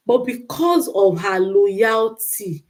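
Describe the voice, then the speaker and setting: A woman's voice: mid-range voice, expressive, slow pace, a little mellow. A woman with a Nigerian accent talking off the cuff on a livestream, emphatic and repeating phrases for stress. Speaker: livestream host giving relationship advice. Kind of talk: unscripted livestream monologue.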